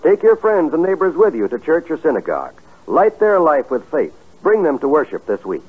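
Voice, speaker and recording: Husky American announcer on muffled old radio. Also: male voice, a little high, very animated. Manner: earnest, formal, measured. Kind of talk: 1950s scripted public service announcement. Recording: muffled, band-limited old radio recording.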